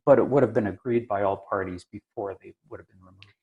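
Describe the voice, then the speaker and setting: A man talking through technical points in a conversational, measured and halting way, like an experienced expert thinking aloud. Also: lowish voice, gravelly, darkish tone, highs cut off. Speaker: aviation maintenance engineer and inspector, podcast regular. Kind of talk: conversational explanation.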